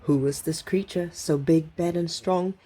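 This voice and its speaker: Lady reading kids rhyming story, low mellow voice, bit husky. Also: steady tone, measured pace. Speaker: storybook reader. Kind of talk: rhythmic children's story narration.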